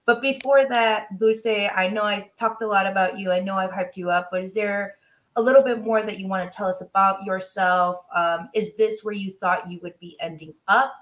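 A woman's voice: mid-range voice, steady tone, normal speed, a bit bright. A woman hosting a podcast interview, enthusiastic and effusive, slipping Spanish phrases into her English with frequent ums. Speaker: podcast host.

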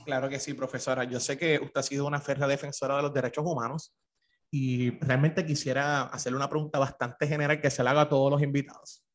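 A man with a Puerto Rican accent hosting a podcast interview, speaking formally and politely and often pausing with "eh". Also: medium pitch, little pitch movement, slight background noise. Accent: Puerto Rican Spanish. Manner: formal and deferential, fills pauses with "eh". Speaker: podcast host. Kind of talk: formal interview with a sponsor read.

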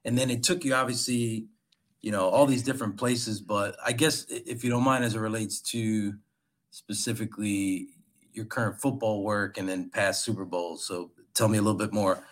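A male host from Philadelphia leads a casual interview, chiming in often with warm affirmations. His voice sits on the lower side, and he trips over and repeats words as he goes. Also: steady tone, moderate pace. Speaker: podcast host, company CEO.

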